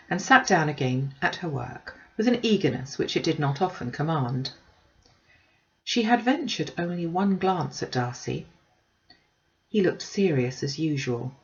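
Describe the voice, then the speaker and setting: A woman with a low-pitched voice reads a classic novel aloud as scripted narration. The recording sounds slightly dull and muffled. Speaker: audiobook reader.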